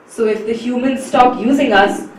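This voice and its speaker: A woman's voice: on the high side, normal speed, close to an everyday voice. A woman playing a pompous male council herald in an amateur stage play, declaiming grandly. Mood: solemn. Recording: very echoey hall, far from mic.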